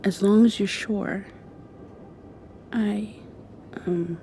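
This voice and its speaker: Woman acting a soft, creaky, shy young girlfriend. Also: mid-range voice, steady tone, slight background noise. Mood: tender, nervous. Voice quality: soft, breathy, often dropping to a whisper. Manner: hesitant, halting, confiding. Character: shy, tender young mosquito girl confessing past heartbreak to girlfriend. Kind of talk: intimate ASMR roleplay.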